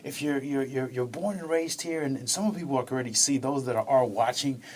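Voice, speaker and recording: A man's voice: medium pitch, brisk, slight background noise. An enthusiastic man hosting an interview podcast, often repeating words as he starts his questions. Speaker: podcast host.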